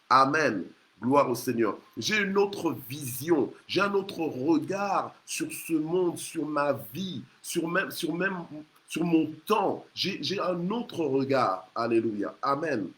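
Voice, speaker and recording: A man's voice: a little high, fairly bright tone. A man preaching an exhorting sermon in an emphatic, repetitive way. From Congo. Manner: emphatic, repeats phrases, punctuates with hallelujah and amen. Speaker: preacher leading a morning prayer. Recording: clear background noise, ambient sound.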